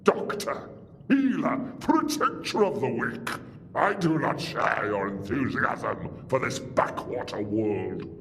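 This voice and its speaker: An actor playing a grandiose, vengeful alien warlord in an audio drama, declaiming with theatrical menace. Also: male voice, on the high side, fairly bright tone, full character voice. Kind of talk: audio drama villain acting. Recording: long reverb with music underneath.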